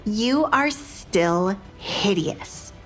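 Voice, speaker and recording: A woman's voice: mid-range voice, animated, very slow, a bit bright, exaggerated voice, poor audio. Female narrator as young noblewoman, spiteful, vain, gloating, audio romance drama, background music. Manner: haughty, gloating.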